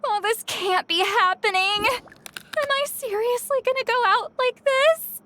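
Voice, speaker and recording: A woman's voice: extremely high pitch, measured pace, very noisy. An actress playing a grumpy, easily flustered young woman in a video game, exasperated, proud and prone to stammering. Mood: flustered, panicked.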